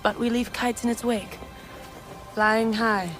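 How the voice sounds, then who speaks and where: An actress playing a calm, reverent female alien clan guide with a deliberate, poetic delivery in a video game cutscene. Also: on the high side, close to an everyday voice. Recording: music behind the voice.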